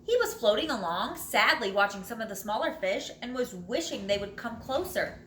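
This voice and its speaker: A woman reads a children's picture book like a teacher to her pupils. Her voice is fairly high and bright, with dramatic, drawn-out phrasing. The recording is roomy and echoey. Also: expressive. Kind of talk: animated children's storybook read-aloud. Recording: roomy echo, light background noise.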